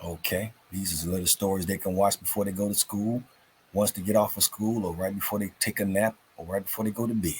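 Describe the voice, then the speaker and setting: A man hosting and reading for a children's story show in an informal, folksy, unhurried way, with a husky, raspy voice. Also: low-pitched, steady tone, slight background noise, poor audio. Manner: folksy, unhurried, addresses listeners as family.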